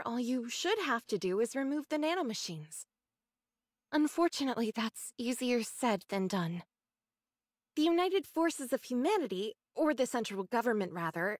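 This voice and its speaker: An actress playing an earnest, scholarly young android researcher in a video game, speaking in a measured, precise way. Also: female voice, fairly high pitch, no echo, natural voice. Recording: dry studio voice, faint game music.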